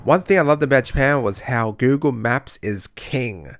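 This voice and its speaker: A man's voice: mid-range voice, moderate pace, noisy background. A man narrating his own travel vlog as a voice-over, chatty and self-mocking, with casual swearing. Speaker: travel vlogger.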